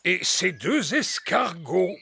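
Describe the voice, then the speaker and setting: Patient cartoon adult animal, creaky, expressive swoops, kindly, noisy background. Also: female voice, lowish voice, takes it slowly, soft background music, exaggerated voice. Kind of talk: French-dubbed preschool cartoon acting.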